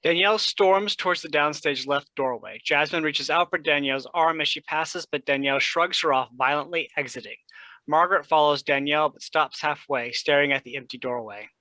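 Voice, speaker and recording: A man's voice: a little high, brisk, bright, forceful tone, no echo, rough recording. A man reading stage directions as scripted narration for a play.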